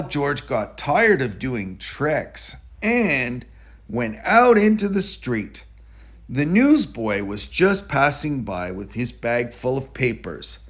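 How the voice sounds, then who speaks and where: Male read-aloud narrator for a children's picture book, medium pitch, very lively intonation, deliberate delivery. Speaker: storybook reader.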